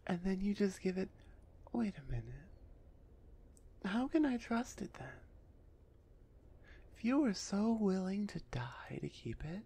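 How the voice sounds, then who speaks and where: A woman voicing a big, gruff female minotaur executioner with grudging, teasing menace in an ASMR roleplay. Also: medium pitch, big pitch swings, measured pace, long pauses, dark tone, heavily acted. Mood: suspicious, relenting.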